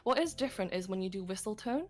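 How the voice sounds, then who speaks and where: A woman's voice: mid-range voice, expressive, fast talker. A woman explaining vocal technique on a livestream lesson. She talks casually, with many "like" fillers and vivid images. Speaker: singing instructor.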